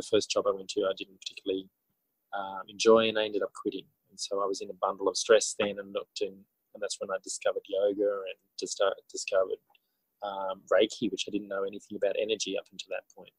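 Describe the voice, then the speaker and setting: A man in his forties with an Australian accent, talking as a guest in a casual podcast interview in a halting, reflective way. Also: on the low side, monotone, husky, no echo, poor audio. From country New South Wales, Australia. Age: 40s. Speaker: interview guest, schoolteacher and author.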